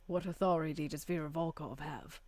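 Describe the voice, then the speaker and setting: A woman voicing a composed, sardonic female vampire in a tabletop roleplay, measured and dry-witted. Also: low voice, steady tone, darkish tone, no room sound, lightly acted. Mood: cool, wry.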